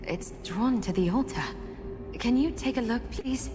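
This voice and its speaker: An actress playing an earnest young woman in a video game who seeks her lost memories, speaking in a measured, sincere way. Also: a little high, over music, natural voice. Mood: curious, searching. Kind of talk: video game character acting.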